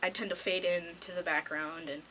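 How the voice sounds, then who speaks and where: Bright-voiced, low-pitched teenage girl. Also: steady tone, moderate pace, rough recording. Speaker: high school theater student. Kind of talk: reflective casual interview answers. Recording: faint background noise.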